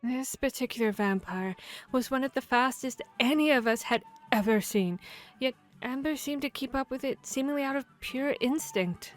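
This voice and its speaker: A woman playing a boastful, playful vampire hunter in a fantasy audio roleplay, speaking in a theatrical, expressive storytelling manner. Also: high voice, moderate pace, lightly acted. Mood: dramatic, proud. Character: boastful, playful female vampire hunter telling tavern tales. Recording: music behind the voice. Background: background music, tavern ambience.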